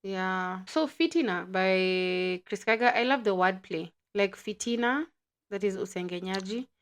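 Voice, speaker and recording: A woman hosting a podcast, chatty and explaining things in a relaxed way with lots of fillers. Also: medium pitch, a little slow. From Kenya. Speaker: podcast host. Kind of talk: casual chat.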